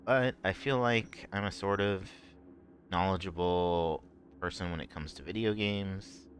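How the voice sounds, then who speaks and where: Guy streaming a game, deep voice, kinda rough, rambles and restarts a lot, faint game sounds behind. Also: a little hiss or hum. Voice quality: rough, strained voice. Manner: rambling, halting, many fillers and restarts. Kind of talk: casual gameplay commentary.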